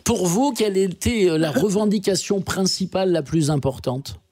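A man's voice: high voice, moderate pace, a little mellow. A man hosting a radio interview, asking questions hesitantly with many fillers and restarts. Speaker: radio host.

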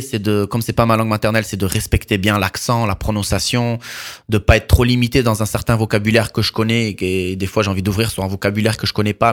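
A man, a musician guest in a radio interview, talking reflectively about his work and pausing to find his words. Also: fairly low pitch. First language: French.

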